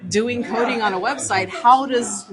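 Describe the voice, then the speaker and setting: A woman's voice: fairly high pitch, moderate pace. A woman giving casual, explanatory interview answers as a guest, recorded live at a convention. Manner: informal, explanatory. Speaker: comic writer, interview guest. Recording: busy convention crowd behind. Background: crowd chatter.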